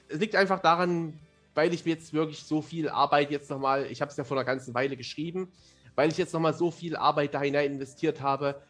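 A man, talking at length in a casual interview and explaining things as he goes. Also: fairly high pitch. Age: 30s. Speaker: fantasy author, podcast guest.

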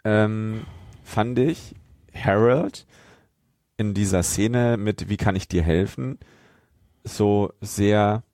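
A man's voice: on the low side, very slow, long pauses, darkish tone, no room sound, clean studio sound. A young adult man co-hosting a podcast in a casual, conversational style. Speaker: podcast co-host. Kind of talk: casual chat.

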